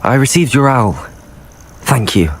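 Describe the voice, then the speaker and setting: A young man playing a cautious, level-headed teenage wizard student in a video game, earnest and measured. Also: medium pitch, lively intonation, a little hiss or hum.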